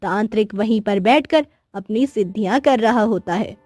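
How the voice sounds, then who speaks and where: A woman's voice: mid-range voice, moderate pace, darkish tone, husky, no room sound, soft background music. A woman narrating a scripted cartoon story as storyteller.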